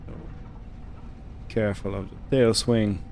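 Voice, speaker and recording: A man giving casual roleplay game commentary, thinking aloud in short, unhurried phrases over a background hum. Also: fairly low pitch, steady tone, a little mellow. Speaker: gaming video host.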